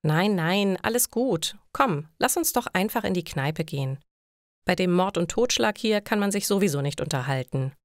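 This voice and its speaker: A woman's voice: lowish voice, expressive, normal speed, dry recording, clean studio sound. A woman voicing an awkward, accommodating man in a story for language learners. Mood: placating. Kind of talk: German learner story dialogue.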